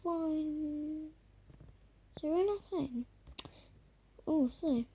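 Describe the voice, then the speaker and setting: Woman gamer, croaky, high and soft-toned, loose filler-laden commentary. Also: extremely slow, slight background noise. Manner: rambling, filler-heavy, trails off into murmurs. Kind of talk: casual gameplay commentary.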